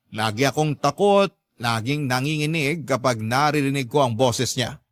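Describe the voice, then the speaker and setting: A man's voice: a little high, fairly bright tone, no room sound, studio quality. A man narrating a dramatic first-person story in a confiding, earnest manner.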